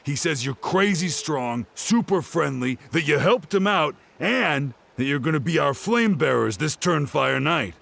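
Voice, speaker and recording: An actor playing an earnest, distraught old scholar in a video game, speaking in a plaintive, formal way. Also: male voice, fairly high pitch, animated, measured pace, creaky, hardly any pauses, a bit bright, exaggerated voice. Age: older. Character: distraught, earnest old male scholar robbed of his work.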